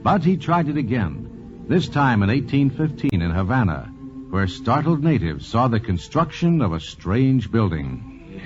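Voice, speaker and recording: A man's voice: mid-range voice, big pitch swings, unhurried, darkish tone, soft background music. An American man delivering scripted narration in the style of a 1940s radio documentary. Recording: dull vintage 1940s radio recording.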